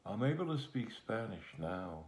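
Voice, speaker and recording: Gravelly low-voiced man in his sixties. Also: big pitch swings. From Puerto Rico. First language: German. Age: 60s. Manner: halting, with many pauses and restarts. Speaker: interviewee. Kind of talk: casual recollection.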